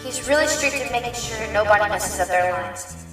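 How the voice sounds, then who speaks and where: A woman's voice: on the high side, animated, moderate pace, close to an everyday voice. Roomy, earnest young rabbit attorney played by a woman. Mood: wary. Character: earnest young female rabbit defense attorney, anxious but feisty. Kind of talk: audio drama acting with inner monologue. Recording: roomy, distant mic, faint music.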